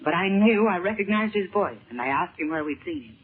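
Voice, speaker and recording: Old tinny radio serial, scared gentle mom, dramatic and earnest, bit of husk in the voice. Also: female voice, fairly low pitch, expressive, fairly quick, slightly rough. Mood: anxious recounting. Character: frightened, gentle middle-aged mother held captive in the jungle. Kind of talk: 1930s radio serial acting. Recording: muffled, tinny 1930s radio recording.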